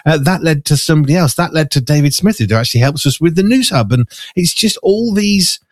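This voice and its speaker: A man with a raspy, gravelly, hoarse voice, an animated radio host chatting effusively with many restarts. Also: fairly high pitch, brisk, no room sound, studio quality. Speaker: radio host and magazine editor. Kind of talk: casual conversation. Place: farmhouse.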